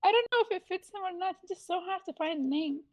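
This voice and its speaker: A woman co-hosting a casual chat podcast, reacting quickly and laughing often. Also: very high voice, lively intonation, highs cut off. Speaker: podcast co-host.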